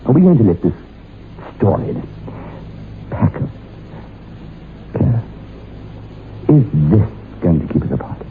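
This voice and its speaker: An actor playing an ardent, insistent suitor, pressing and impatient, in an old-time radio drama. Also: male voice, on the low side, big pitch swings, unhurried, vocal fry, pauses often, a little hiss or hum, full character voice. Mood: urgent, pleading. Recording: dull vintage radio recording with music.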